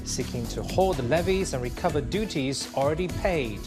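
A male anchor delivers business headlines in a formal, measured read. A faint bed of music sits behind his voice. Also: on the high side, lively intonation. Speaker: news anchor. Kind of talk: scripted news reading.

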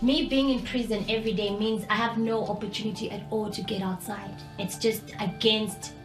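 A woman's voice: medium pitch, fairly even intonation, moderate pace, roomy sound, music in the background, rough recording. A young woman from Zambia speaking candidly and earnestly about her own life. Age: young adult. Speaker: interviewee. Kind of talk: personal testimony.

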